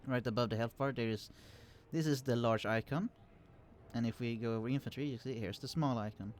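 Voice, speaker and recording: A man casually commenting on a strategy game he is playing, explaining units with many fillers and restarts, his voice kept quiet and turned down in the recording. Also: medium pitch, a little fast. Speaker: let's play host. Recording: quiet voice, turned down to hide computer hum.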